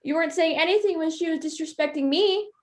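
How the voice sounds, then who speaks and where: Young woman as spoiled college mean girl, sarcastic, insolent, very high pitch, student stage play. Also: fast talker. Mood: snide, dismissive. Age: young adult. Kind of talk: stage acting in a student one-act play.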